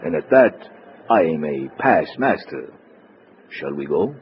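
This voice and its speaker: An actor playing a suave, cautious communist spy courier, formal and precise, in a 1950s radio drama. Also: male voice, rumbling low, big pitch swings, slow pace, full character voice. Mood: quietly triumphant. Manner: formal, precise, self-assured. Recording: muffled noisy old radio recording.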